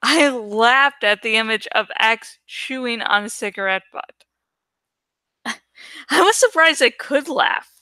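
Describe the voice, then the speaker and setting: Slow, bright-voiced woman reading aloud. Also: a little high, expressive, no room sound, clean studio sound. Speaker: host reading a novel aloud on a livestream. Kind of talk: dramatic audiobook-style reading with casual asides.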